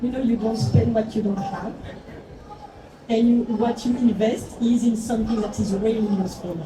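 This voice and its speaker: A woman in her forties with a foreign accent, giving a reflective talk as a brand founder and often pausing for words. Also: on the high side, fairly even intonation. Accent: foreign, not Mexican. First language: not English. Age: 40s. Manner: reflective, searching for words, many fillers. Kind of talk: talk to an audience. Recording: echoey room, far from the mic, noisy.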